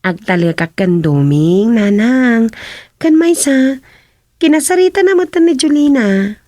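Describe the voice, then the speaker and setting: A young wife in an Ilocano radio comedy drama. She has a high, closely recorded voice and a playful, coaxing way of questioning. Her accent is Filipino Ilocano. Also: lively intonation, unhurried, dark tone, no echo, studio quality.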